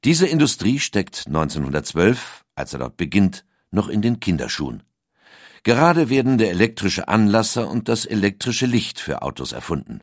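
A man with a very low voice reads a documentary script, his pitch rising and falling in lively arcs. The sound is dry and close, with no room around it. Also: moderate pace, no room sound. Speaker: documentary narrator.

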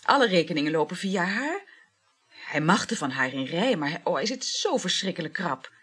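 A flirtatious woman in a radio play. Her voice is husky and swings up and down as she gushes and sighs, chattering about lovers in an effusive, coaxing way. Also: lowish voice, sing-song, brisk, a bit bright, heavily acted. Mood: chatty, pleading. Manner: chatty, effusive, sighing exclamations. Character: flirtatious, gushing, money-strapped woman juggling several lovers. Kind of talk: naturalistic radio play acting.

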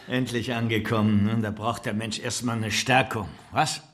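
An actor playing a genial male gallery curator in a radio drama, speaking in a jovial, unhurried way with little tag questions. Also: on the low side, steady tone, a bit bright. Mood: welcoming.

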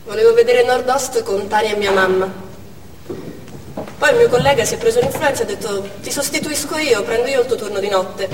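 A woman playing a warm, self-questioning working mother in a conversational theatrical monologue. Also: on the high side, fairly quick, close to an everyday voice. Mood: rueful, tender. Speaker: stage actor. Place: indoor theatre stage, recorded from afar. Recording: distant, echoey hall with faint noise.

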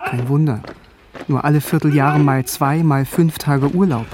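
A man's voice: on the high side, fairly even intonation, normal speed, background noise, close to an everyday voice. A man playing a wry, bookish young man who narrates his youth in a radio drama, articulate and self-ironic. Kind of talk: scripted first-person radio drama narration. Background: music.

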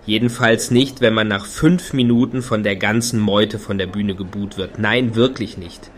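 Guy playing a lowish critical club-goer, matter of fact, radio play. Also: fairly even intonation, normal speed, lightly acted.

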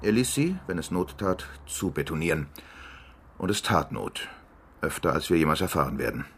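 A male exposé writer in a radio play. His low voice reads written prose rhetorically, pressing each point with emphasis. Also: expressive, moderate pace, a bit bright, husky, heavily acted. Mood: pointed, accusing. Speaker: actor reading out a manuscript in a radio play. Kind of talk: dramatic reading of a written exposé.

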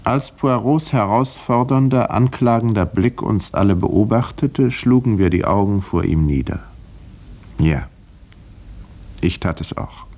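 Educated doctor telling a murder case, lowish voice, dry n precise, old radio play style. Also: male voice, darkish tone. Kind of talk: 1950s radio drama narration and dialogue.

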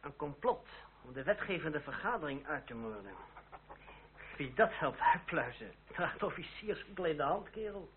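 A man playing a brisk, authoritative revolutionary officer who gives curt orders in an old radio drama. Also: on the high side, animated, lightly acted. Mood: businesslike command. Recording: noisy, tinny old radio play recording.

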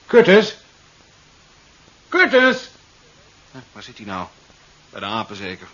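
An actor playing a brisk, jovial, slightly eccentric doctor-scientist in a 1970s radio play, hearty one moment and muttering to himself the next. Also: male voice, lowish voice, wildly swooping pitch, fairly bright tone, full character voice. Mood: hearty, calling, then muttering, tired. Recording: muffled 1970s radio recording with hiss.

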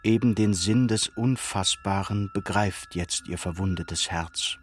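A man narrating a literary radio drama with grave, measured, dramatic delivery. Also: lowish voice, slight background noise.

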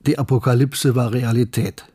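An actor playing a sober male narrator who recounts wartime history in a measured, factual way, with a husky voice. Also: mid-range voice, fairly even intonation, mellow, close to an everyday voice. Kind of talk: scripted narration in a radio play.

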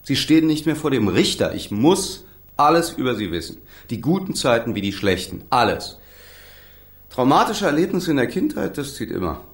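A man's voice: medium pitch, big pitch swings, moderate pace, roomy sound. A man acting an embittered, combative young defence lawyer in a radio crime drama, indignant and emphatic. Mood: brisk, coaxing.

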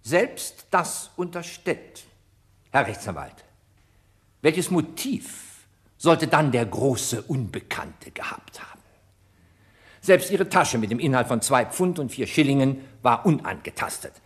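An actor playing a haughty, sarcastic public prosecutor in a radio crime play, speaking with biting irony and pointed rhetorical pauses. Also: male voice, medium pitch, very animated. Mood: forceful, condemning. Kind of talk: German radio crime play acting, courtroom oratory.

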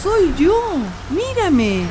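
A woman voicing an older wife caring for her husband, gentle and coaxing, in a community radio drama. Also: extremely high pitch, sing-song, extremely slow, background noise, full character voice. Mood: tender.

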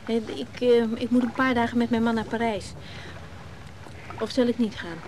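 Woman playing flamboyant coaxing lady, radio drama, high voice, a bit of background noise. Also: level tone, normal speed, darkish tone, close to an everyday voice. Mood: insistent. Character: flamboyant, insistent married woman, teasing and pushy. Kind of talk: naturalistic radio drama acting.

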